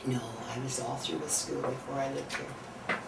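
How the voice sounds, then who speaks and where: A woman's voice: a bass voice, flat delivery, moderate pace, creaky, roomy sound. Older woman interviewee, chatty, turns questions back; distant mic, noisy room. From Canada. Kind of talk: casual interview answers.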